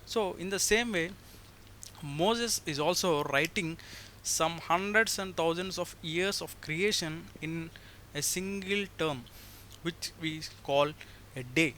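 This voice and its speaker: A man's voice: on the high side, takes it slowly, bright, forceful tone. A man in his twenties with an Indian accent, explaining scripture in a formal, didactic way and repeating key phrases. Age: 20s. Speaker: religious teacher answering criticism. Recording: faint background noise.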